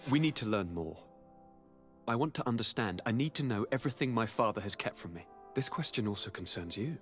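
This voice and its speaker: An actor playing an eager young noble governor in a video game, with a courtly, dramatic, formal delivery. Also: male voice, lowish voice, animated, a little fast, faint music behind, natural voice. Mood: shocked, bitter. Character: young nobleman governor, eager, theatrical, wounded by his father. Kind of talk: video game cutscene acting.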